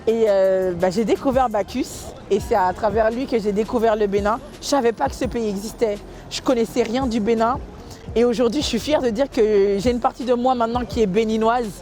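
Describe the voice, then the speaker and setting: A woman's voice: a little high, a little fast, mellow, soft background music. A woman who grew up in Guadeloupe giving a personal testimony in an interview as a food truck co-founder. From Guadeloupe, France.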